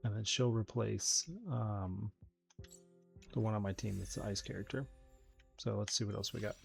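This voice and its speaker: Male gameplay host, low voice, easygoing think-aloud commentary over faint music. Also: fairly even intonation, moderate pace, vocal fry. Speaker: host of a game playthrough channel. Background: game music behind the voice.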